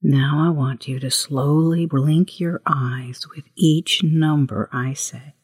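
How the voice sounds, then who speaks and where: A woman leading a guided meditation as host, with a hushed, breathy voice and gentle, unhurried instructions. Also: low voice, steady tone, no echo, clean studio sound. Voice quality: breathy, husky, often near whisper.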